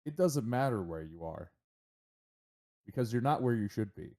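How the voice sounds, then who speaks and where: A man's voice: lowish voice, sing-song, moderate pace, darkish tone. A man voicing a hostile, bitter gunman who interrogates and accuses in a tabletop game. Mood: cold menace.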